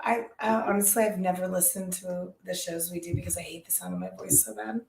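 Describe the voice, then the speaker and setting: A woman's voice: lowish voice, steady tone, fairly quick, vocal fry, darkish tone, a little hiss or hum. Blunt woman co-host, echoey room. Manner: blunt, crude, laughs easily. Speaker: podcast co-host. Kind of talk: casual podcast chat.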